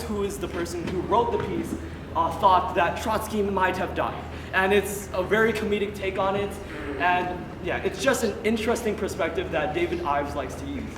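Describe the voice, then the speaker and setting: High-voiced young man in a noisy echoey hall. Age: young adult. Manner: jokey, rambling. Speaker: student director introducing a play. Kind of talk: casual live stage introduction. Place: school stage, recorded from the audience. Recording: loud noise, echoey hall, distant mic.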